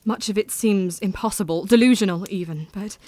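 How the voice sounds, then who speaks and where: A woman's voice: medium pitch, fairly quick, a little mellow, no room sound, natural voice. A woman playing a frank, troubled but resolute Victorian wife in an audio drama, speaking formally and earnestly. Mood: troubled, confiding.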